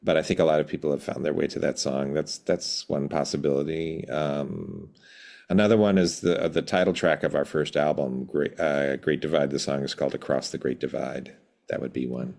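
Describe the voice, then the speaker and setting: A middle-aged man reflecting in an interview, thoughtful and measured, pausing to find his words. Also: rumbling low, fairly even intonation, vocal fry, a little mellow. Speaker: podcast guest, rock band drummer.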